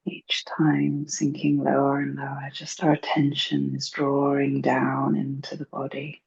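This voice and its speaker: A woman leading a guided meditation in a gentle, hushed, soothing and unhurried way. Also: very deep voice, monotone, gravelly, husky. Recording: dull, muffled lo-fi recording.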